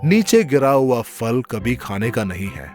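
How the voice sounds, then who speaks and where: A man narrates a children's story in a scripted storytelling style. His voice sits at an average male pitch. Music plays behind him. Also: very animated, measured pace, a little mellow.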